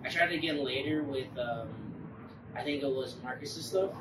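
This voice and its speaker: A man's voice: medium pitch, normal speed, far from the mic. A man speaks in a loose, rambling storytelling way, swearing freely. He sounds set back from the microphone in an echoey room, with faint noise behind him. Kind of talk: casual chat.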